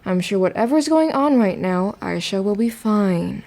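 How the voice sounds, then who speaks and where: A woman playing a gentle, reassuring middle-aged mother in an audio drama. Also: mid-range voice, lively intonation, normal speed, creaky. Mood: soothing, reassuring.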